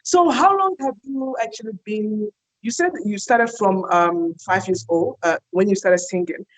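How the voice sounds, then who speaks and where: A woman's voice: mid-range voice, unhurried, rough recording. A woman hosting an online TV interview, chatty and enthusiastic, with frequent fillers. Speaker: host.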